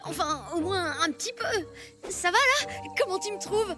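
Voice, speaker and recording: Cartoon cave boy: very high child voice, eager and easily flustered, over loud music. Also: animated, moderate pace. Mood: lovestruck, excited. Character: eager, lovestruck young prehistoric cave boy. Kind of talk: French cartoon dialogue.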